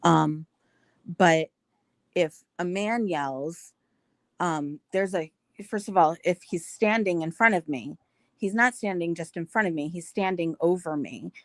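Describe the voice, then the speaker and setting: Woman panelist, earnest and measured, lower pitch, dry recording. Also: dry recording. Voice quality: self-described naturally high-pitched voice. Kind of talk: panel discussion.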